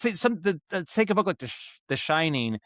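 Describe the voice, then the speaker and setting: A man speaking as a podcast guest in casual interview talk, explaining his craft in a halting, thoughtful way with frequent self-corrections. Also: sits high, big pitch swings, fairly bright tone, dry recording, clean studio sound. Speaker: guest, audio drama writer and director.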